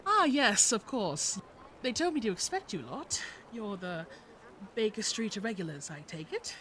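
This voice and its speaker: A genteel audio drama lady, polite and fussy. She gushes and frets over her pet in a mid-pitched voice, over faint background noise. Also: big pitch swings, moderate pace, a bit bright, low-quality recording. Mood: polite, fretful. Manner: prim, polite, gushing. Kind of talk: scripted audio drama acting.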